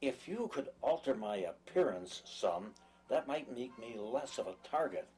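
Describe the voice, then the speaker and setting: A man playing a timid country farmer on the run, humbly pleading in a halting, stammering way in a drama. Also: mid-range voice, animated, darkish tone, close to an everyday voice. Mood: earnest, bargaining. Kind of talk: dramatic dialogue in an audio play or table reading.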